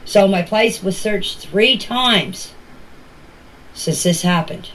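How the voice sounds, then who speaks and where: A woman's voice: medium pitch, slow. An older woman speaking earnestly and emphatically in informal voice messages, often repeating herself for emphasis. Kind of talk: informal voice messages to a group chat. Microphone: phone voice recording. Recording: noisy voice message clips.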